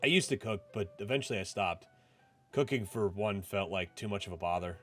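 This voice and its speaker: Guy reading as a polite reserved young dude in a visual novel, bit hesitant, lowish voice, music in back. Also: fairly quick, a bit bright, natural voice. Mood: reserved, thankful.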